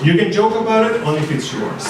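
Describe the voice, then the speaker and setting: Comedian in a roomy club. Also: male voice, sits high, fast, a loud crowd. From Venezuela. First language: Spanish. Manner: self-deprecating, rambling asides. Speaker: stand-up comedian. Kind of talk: stand-up comedy set. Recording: roomy club sound from far off. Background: audience laughter.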